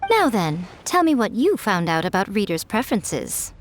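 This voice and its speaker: A woman's voice: mid-range voice, big pitch swings, moderate pace, a little hiss or hum, close to an everyday voice. A woman voicing a sly, confident kitsune publisher in an anime game, poised, teasing and theatrical.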